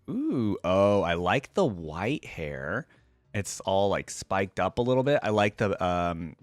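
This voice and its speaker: A man's voice: deep voice, dry recording. A man hosting a reaction video, commenting casually and thinking aloud in slangy, everyday language. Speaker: host of a game reaction video channel.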